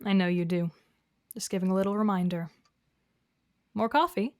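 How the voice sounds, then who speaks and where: A woman playing a composed, gently corrective therapist in an audio drama, speaking in a measured, patient, questioning way. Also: medium pitch, no room sound, studio quality, natural voice. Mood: calm, encouraging. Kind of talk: naturalistic audio drama dialogue.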